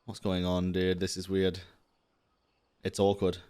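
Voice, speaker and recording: A man's voice: low-pitched, completely flat delivery, unhurried, mellow, clear, resonant tone. A young man doing casual, joking game stream commentary, slangy and sweary. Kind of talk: casual live reaction commentary. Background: game music.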